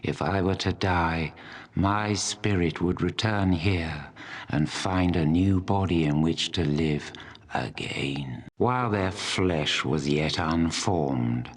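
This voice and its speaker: An actor playing an ancient, proud and embittered dragon in a fantasy video game, speaking in a solemn, deliberate, coldly menacing way. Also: male voice, low voice. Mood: solemn, unrepentant. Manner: slow, deliberate, solemn delivery.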